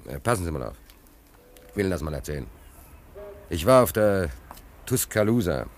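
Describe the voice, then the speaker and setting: A naive, excitable sailor spins his tale of woe for a radio play. His voice sits fairly low but leaps about in pitch. He talks emphatically and colloquially, repeating himself to drive things home. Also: brisk, slight background noise. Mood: reminiscing. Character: agitated, stranded, naive sailor without papers telling his woes. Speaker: actor. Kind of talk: German radio play acting.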